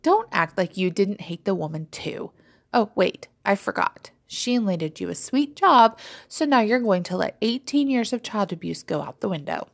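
A woman voicing a brash, excitable, blunt grown daughter in an audiobook story. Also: mid-range voice, normal speed, creaky. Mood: sarcastic. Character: brash, gleeful, unforgiving grown daughter on the phone.

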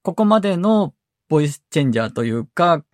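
A man hosting a tech podcast, explaining software methodically, step by step. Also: a little high, very animated, a little fast, no room sound, studio quality. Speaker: podcast host.

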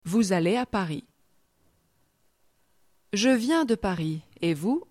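A woman teacher reading slow, clear repetition drills for learners, with deliberate pronunciation and pauses. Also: mid-range voice, lively intonation, darkish tone, no room sound, clean studio sound. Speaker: language teacher. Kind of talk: slow scripted language drill.

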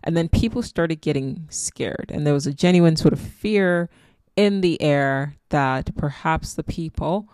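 A woman's voice: deep voice, measured pace, darkish tone, no room sound. Reflective Nigerian-accented woman, dry close sound. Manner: reflective, meandering, spiritual musing. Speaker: podcast host. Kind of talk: casual solo podcast monologue.

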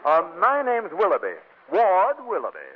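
An actor playing a boastful, amorous, easily bewildered bachelor in a 1940s radio comedy. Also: male voice, high-pitched, wildly swooping pitch, moderate pace, full character voice. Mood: sheepish, polite. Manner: stammering, eager, suggestive. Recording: tinny old radio recording.

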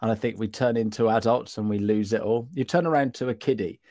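A man's voice: on the low side, quick pace, a little mellow, no room sound, clean studio sound. A man hosting an interview show, chatty and enthusiastic in a casual way. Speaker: podcast host.

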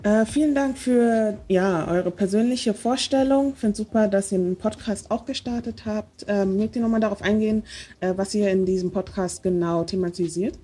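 A woman's voice: medium pitch, normal speed, dark tone, breathy edge. A woman hosting a casual podcast interview, talking with many hesitant fillers. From Germany. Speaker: podcast host.

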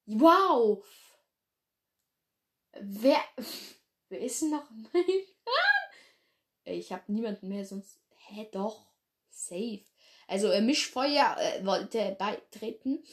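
A woman chatting casually in her bedroom, giggly and rambling, laughing at her own mistakes. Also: medium pitch. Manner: giggly, rambling, self-deprecating. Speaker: host of a fan clan roleplay channel.

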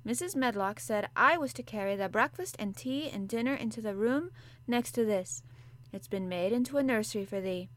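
A woman's voice: a little high, expressive, normal speed, no echo, natural voice. A woman voicing a blunt, good-natured young housemaid with a broad Yorkshire accent, speaking in homely dialect. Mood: chatty.

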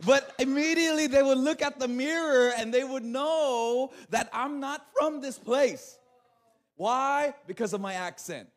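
Man, 30s, pastor; high voice, casual sermon, lots of "right?". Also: a little slow. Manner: conversational, asks "right?" often, laughs easily. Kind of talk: livestreamed sermon.

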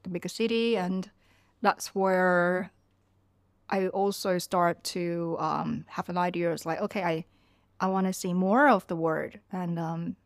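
A woman's voice: fairly low pitch, dry recording, clean studio sound. A woman in her thirties from Vietnam, speaking English as a podcast guest, reflecting loosely on her memories. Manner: reflective, talks through memories with loose phrasing. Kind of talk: casual conversational storytelling.

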